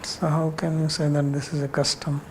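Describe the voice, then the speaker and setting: A man's voice: on the high side, flat delivery, moderate pace, a little hiss or hum. A man with an Indian accent leading an informal class discussion as a spiritual teacher, answering questions bluntly and challengingly with rhetorical questions.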